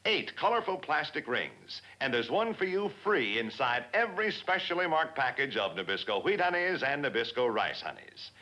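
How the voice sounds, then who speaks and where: A man's voice: sing-song, normal speed, few pauses, pushed and bright. A man voicing a puppet boy selling a cereal giveaway in an upbeat 1950s TV commercial. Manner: upbeat sales pitch.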